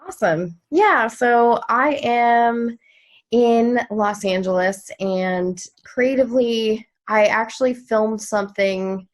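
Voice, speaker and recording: A woman, an actress, chatting casually and brightly about her work on a remote video call. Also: on the high side, takes it slowly. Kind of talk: casual chat.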